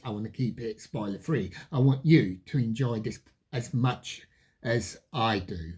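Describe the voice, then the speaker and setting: Husky voice, unhurried pace, animated pitch swings, emphatic film review delivery. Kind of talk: spoken film review to viewers.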